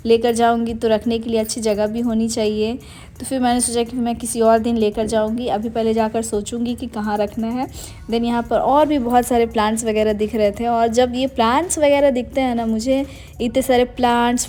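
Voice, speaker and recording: A woman's voice: fairly high pitch, dark tone. A young adult woman narrating a casual daily-life vlog, chatty and meandering, mixing English words into her Hindi. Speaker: vlogger.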